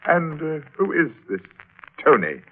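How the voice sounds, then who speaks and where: An actor playing an imperious, sardonic uncle with suave, mocking menace in 1940s radio drama style. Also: male voice, fairly high pitch, sing-song, unhurried, a little hiss or hum. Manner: suave, mocking, clipped commands. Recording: old muffled radio recording.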